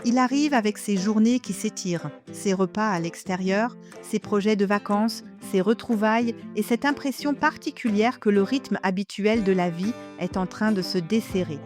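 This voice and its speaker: An older woman from Germany, a native German speaker, reads scripted podcast narration as host, in a measured, reflective manner, addressing the listener directly. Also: medium pitch, darkish tone. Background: music.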